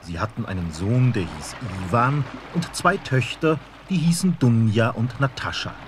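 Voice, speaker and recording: A man's voice: mid-range voice, lively intonation, moderate pace, background noise. Man narrating a fairy tale.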